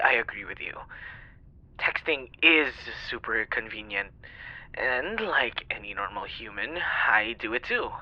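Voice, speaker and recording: A man's voice: mid-range voice, no echo. A man playing a flustered, apologetic young stranger on a phone call, rambling and hesitant in an intimate ASMR roleplay style. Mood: gentle, self-deprecating. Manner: hesitant, stammering, sighing, rambling.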